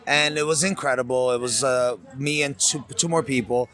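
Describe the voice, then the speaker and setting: A man's voice: mid-range voice, steady tone, normal speed, fairly bright tone. A man working a vendor booth, chatting enthusiastically in an outdoor interview at a race event. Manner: enthusiastic, conversational.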